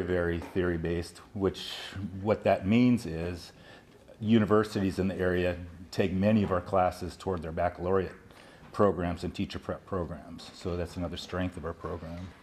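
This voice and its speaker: A man's voice: low voice, a little mellow. A man speaking as an instructor, explaining a program in a promotional interview. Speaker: early childhood education instructor. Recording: noticeable background noise.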